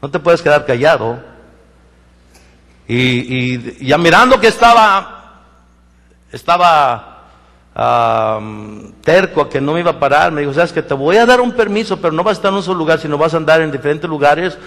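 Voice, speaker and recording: A Mexican pastor preaches in a somewhat high voice and tells his own stories with energy, acting out the voices of the people in them. He often stops and restarts his sentences as he talks. The sound rings with the long reverb of a church hall. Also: normal speed. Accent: Mexican Spanish. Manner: animated storytelling, acts out quoted voices, many restarts. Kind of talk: sermon with personal stories. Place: church sanctuary.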